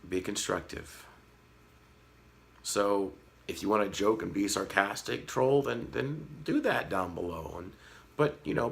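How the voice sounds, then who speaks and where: A man in his thirties with a slightly hoarse voice, talking frankly and earnestly to his viewers in a casual vlog, with a light sarcastic edge. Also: deep voice. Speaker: fish-keeping vlogger. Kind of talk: casual talk to camera.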